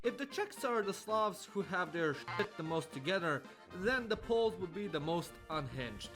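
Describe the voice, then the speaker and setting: A wry, sarcastic male narrator reads a comic script in a mock-scholarly tone, slightly high for a man. Music runs clearly beneath him throughout. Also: very animated, moderate pace. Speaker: video narrator.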